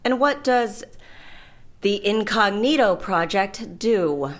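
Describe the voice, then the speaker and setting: A woman's voice: mid-range voice, animated, takes it slowly. An actress playing a curt, skeptical woman who runs an underground outfit, speaking in a clipped, sardonic way in an audio drama. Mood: cold, interrogating. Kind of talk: scripted audio drama acting.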